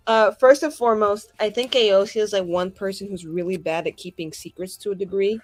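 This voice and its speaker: A woman's voice: medium pitch, steady tone, fairly quick, no echo. A woman player telling her character's story at a tabletop game in a flippant, dramatic, slangy, sweary way. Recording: faint music behind.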